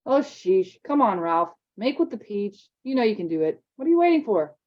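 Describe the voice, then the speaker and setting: A woman's voice: medium pitch, very animated, moderate pace, highs cut off, close to an everyday voice. Woman putting on an eager joking boy, audiobook. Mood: upbeat. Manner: upbeat, teasing.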